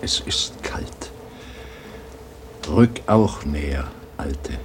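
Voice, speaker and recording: An actor playing a weary, wistful old grandfather in a radio drama, with a husky voice and a brooding, halting delivery. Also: a bass voice, lively intonation, whispered, heavily acted.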